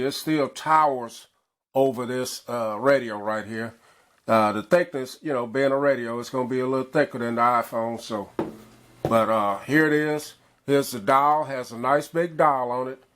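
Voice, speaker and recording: Easygoing middle-aged male reviewer, mid-range voice, unhurried, loose and rambling delivery. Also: mid-range voice. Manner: relaxed, rambling, frequent uhs. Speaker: gadget reviewer. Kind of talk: casual product review.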